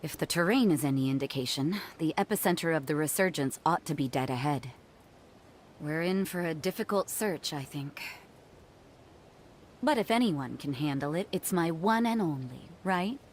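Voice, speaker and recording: A woman's voice: sits low, lively intonation, normal speed, a little hiss or hum. A woman playing a resolute, protective female commander and guarded older sister in a video game cutscene, commanding and earnest with a teasing streak. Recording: loud music behind the voice. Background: loud game music.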